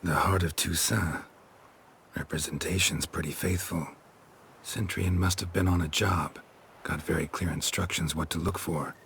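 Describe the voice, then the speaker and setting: A man's voice: low voice, fairly even intonation, normal speed, a bit bright. A man with an American accent playing a stoic, middle-aged monster hunter in a video game, speaking in terse, clipped, world-weary phrases. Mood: matter-of-fact. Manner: terse, clipped, drops sentence subjects. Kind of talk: video game dialogue acting. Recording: clear background noise, ambience.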